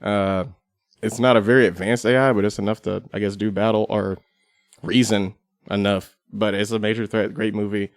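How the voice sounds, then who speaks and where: A man's voice: lowish voice, little pitch movement, moderate pace, no echo, clean studio sound. A man speaking as a podcast guest in casual, conversational chat.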